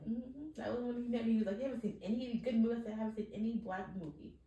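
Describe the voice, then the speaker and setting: A young woman chatting casually as a podcast co-host, animated and laughing often as she acts out quotes. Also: fairly high pitch, racing, recorded from a distance, highs cut off. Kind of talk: casual chat. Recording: roomy, from off-mic.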